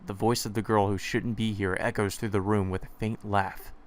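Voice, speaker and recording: A man's voice: lowish voice, flat delivery, moderate pace. A man narrating a visual novel aloud in the first person, reading steadily with small stumbles. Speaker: let's play narrator.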